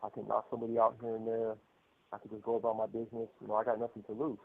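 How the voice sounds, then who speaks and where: A man's voice: lowish voice, monotone, racing, creaky. American man on a narrow phone line. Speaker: prison inmate caller telling his story. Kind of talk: candid personal storytelling over the phone. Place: prison phone call. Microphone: telephone. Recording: muffled narrow-band prison phone line.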